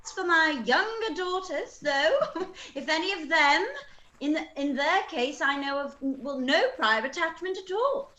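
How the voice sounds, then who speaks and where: A woman's voice: sits high, expressive, measured pace, bright, forceful tone, roomy sound, exaggerated voice. A woman playing a fluttery middle-aged mother in a period radio play, gushing and coy, eager to please.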